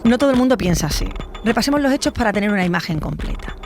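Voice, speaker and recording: A woman's voice: mid-range voice, animated, racing, over music. A woman narrating a scripted true crime documentary as a podcast host.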